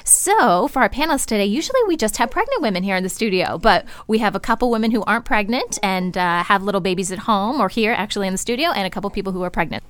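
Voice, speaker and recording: A woman's voice: mid-range voice, expressive, fast, hardly any pauses, no room sound. Lady hosting a podcast, upbeat and chatty, dry close studio sound. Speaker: podcast host. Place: radio studio.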